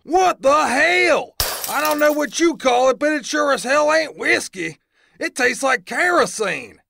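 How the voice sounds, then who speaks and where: Wild West barfly played by a male actor, gruff, heavily creaky strained high voice, noisy audio drama scene. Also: expressive, measured pace, sharp tone, heavily acted. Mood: disgusted, loud. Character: gruff, disgusted Old West saloon customer.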